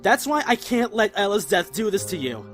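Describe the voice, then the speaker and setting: A man playing a caring, earnest young survivor in a zombie apocalypse audio drama, mild-mouthed and gentle but stubborn. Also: sits high, big pitch swings, normal speed, faint music behind. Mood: pleading, firm. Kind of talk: fan audio drama acting.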